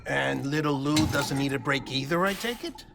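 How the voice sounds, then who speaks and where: A man's voice: mid-range voice, vocal fry, background noise, close to an everyday voice. Man acting an earnest, cautious game advisor. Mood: placating, earnest. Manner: explanatory, measured, placating. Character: earnest, cautious, analytical male colleague, named Deadman. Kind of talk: cinematic video game acting.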